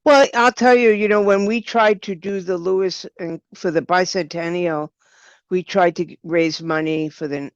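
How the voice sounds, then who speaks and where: An older woman with a low, smooth voice, speaking conversationally as an interview guest about her work. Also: dry recording, dull audio. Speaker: guest, writer and director.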